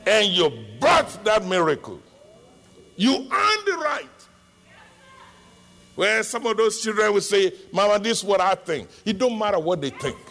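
Nigerian-accented male pastor, middle-aged, high lively voice, slow emphatic delivery that breaks into shouts. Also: vocal fry. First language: Yoruba. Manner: emphatic preaching, bursts into shouting. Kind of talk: sermon.

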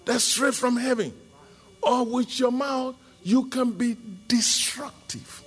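A middle-aged Nigerian man, Yoruba his first language, preaching a sermon as a pastor with emphatic bursts into shouting. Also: very high-pitched, fairly even intonation, takes it slowly, breathy edge.

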